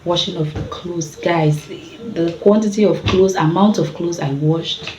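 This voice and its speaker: Husky Nigerian woman vlogging, slow. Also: on the low side. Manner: chatty, laughs between sentences. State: sore throat. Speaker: family vlogger. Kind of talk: casual talk to camera. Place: room at home, indoors. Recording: echoey room with background noise.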